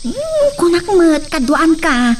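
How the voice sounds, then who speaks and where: A polite young village woman, played by a young woman in a homemade drama, speaking gently and reassuringly. Her voice is high. She is recorded from some distance, with faint insects behind her. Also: big pitch swings, slow pace, slight background noise, heavily acted. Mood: kind. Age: young adult. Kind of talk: amateur drama acting.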